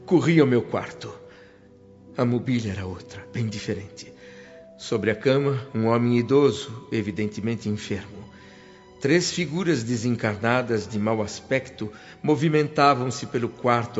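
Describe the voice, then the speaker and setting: A man's voice: medium pitch, darkish tone, natural voice. Radio drama narrator, played by a man: wistful male spirit, mid-range emotive voice, slightly muffled sound. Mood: stunned, anguished. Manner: dramatic, emotive, literary phrasing. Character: sorrowful male spirit returning home, wistful and earnest. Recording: dull lo-fi sound under music.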